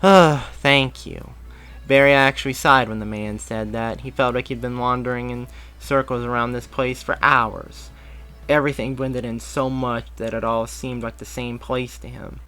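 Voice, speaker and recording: A man reading fan fiction aloud in a steady way, with small slips and restarts. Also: medium pitch, moderate pace, soft background music. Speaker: story reader.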